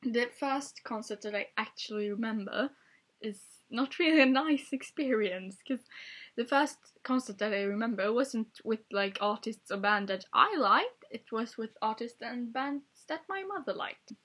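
A woman's voice: fairly high pitch, expressive, moderate pace, a bit bright. A young woman whose first language is Swedish, chatting playfully in her bedroom into a webcam and laughing often. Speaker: vlogger. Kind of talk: casual tag video chat. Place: small bedroom, indoors. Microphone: webcam at desk.

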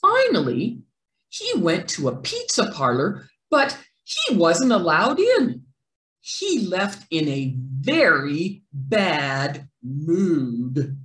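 A middle-aged woman narrates a picture book with theatrical expression. Her pitch swings widely while the pace stays slow and deliberate. The small room gives her voice a clear echo. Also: wildly swooping pitch, a bit bright. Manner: theatrical, deliberate, stressed words. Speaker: children's read-aloud presenter. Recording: roomy indoor echo.

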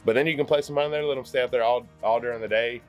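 A man's voice: medium pitch, fairly even intonation, racing, soft background music. A young man with a Kentucky accent, giving an easygoing, plain-spoken gardening tip at home to a handheld camera. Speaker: homestead vlogger. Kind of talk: casual tip-giving chat. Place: home, indoors. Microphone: selfie camera at arm's length.

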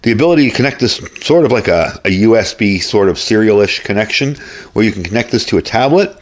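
A man's voice: lowish voice, lively intonation, fast. A man giving a casual hands-on gear review as host, explaining things informally, step by step.

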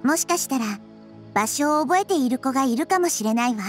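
A woman playing a wise, kindly childlike girl deity in an anime-style game, speaking in a calm, reassuring, articulate way. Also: high-pitched, brisk, faint music behind.

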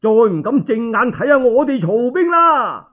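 A male Cantonese storyteller voicing a belligerent enemy general in a radio tale, shouting jeering taunts. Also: very high-pitched, very animated, measured pace, narrow, tinny audio, voice pushed up, heavily acted.

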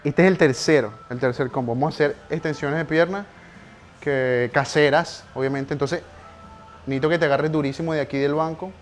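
A man's voice: a little high, steady tone, a little fast, soft background music. Young man coaching reps in a garage. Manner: urgent encouraging counting of reps, pushes harder near the end. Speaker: personal trainer. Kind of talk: live coaching a workout. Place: home garage gym, indoors.

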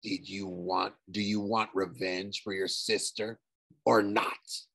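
A man doing a hushed, sly ghost. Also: low-pitched, flat delivery, husky, heavily acted. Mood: coaxing, sinister. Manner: slow, persuasive and coaxing. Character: sly menacing male ghost, a shadowy wisp. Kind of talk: audiobook character voice.

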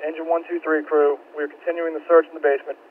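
A man's voice: fairly high pitch, flat delivery, racing. A man giving firefighter dispatch calls over a two-way radio. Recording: dull, lo-fi two-way radio transmission.

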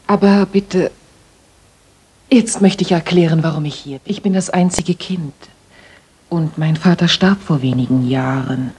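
Earnest young lady, low and husky. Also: measured pace, warm tone, exaggerated voice. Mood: earnest, confiding. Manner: polite, earnest, formal. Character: earnest, worried young lady music teacher, polite and composed. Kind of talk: German radio play acting.

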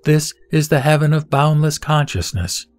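A man giving a measured, solemn reading of a Buddhist scripture. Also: a little high, animated, no echo. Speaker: sutra reciter. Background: soft background music.

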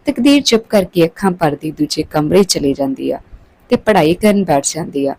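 Mellow, low-toned woman storyteller. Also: animated, moderate pace, gravelly, husky, rough recording. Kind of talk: scripted story narration.

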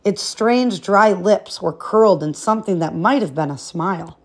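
Female storybook narrator for a fairy-tale read-aloud, expressive, mid-range voice. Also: medium pitch, normal speed.

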